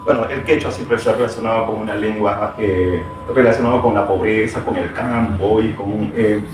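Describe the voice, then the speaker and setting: A man's voice: lowish voice, moderate pace. A Peruvian man who teaches, answering interview questions in a reflective way, hesitating often with fillers and restarts. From Peru. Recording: very echoey room, far from mic, music behind.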